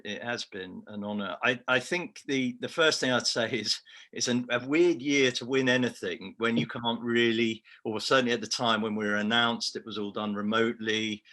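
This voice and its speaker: A man's voice: medium pitch, normal speed, sharp tone. A man with a Liverpool accent, an award-winning researcher, giving a reflective, self-deprecating answer in a remote interview. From Liverpool, England.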